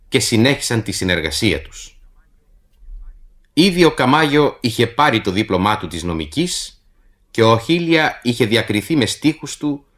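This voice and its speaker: A man reading a scripted introduction to a radio play in a formal, measured literary manner. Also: medium pitch, fairly bright tone. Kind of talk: scripted narration for radio theatre.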